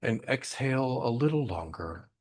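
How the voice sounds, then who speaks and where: A man guiding a breathing meditation in a gentle, unhurried way, leaving long pauses between short instructions. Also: mid-range voice, expressive. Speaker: meditation guide.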